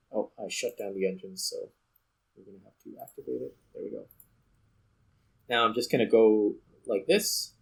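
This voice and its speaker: A man's voice: on the low side, creaky, slightly rough, rough recording. A man giving casual gameplay commentary as host, thinking aloud step by step. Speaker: host playing a space flight game.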